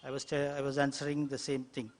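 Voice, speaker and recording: A man whose first language is Tamil, a translator speaking on a panel in English, halting with many fillers and restarts. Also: mid-range voice, monotone. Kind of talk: hesitant panel remarks. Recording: long hall reverb, faint ambient noise.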